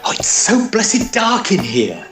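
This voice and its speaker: Man playing a high-voiced, excitable Edwardian gentleman. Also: slow, soft background music, full character voice. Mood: flustered, puzzled. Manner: stammering, earnest, old-fashioned phrasing. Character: excitable, easily flustered Edwardian gentleman ghost hunter and narrator. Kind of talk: tongue-in-cheek period audio drama.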